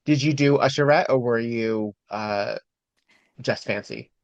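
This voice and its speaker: A man in his forties hosting a podcast, talking in an animated, chatty way and laughing easily. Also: medium pitch, measured pace, dry recording. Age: 40s. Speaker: podcast host. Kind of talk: casual interview chat. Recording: dull lo-fi dry sound.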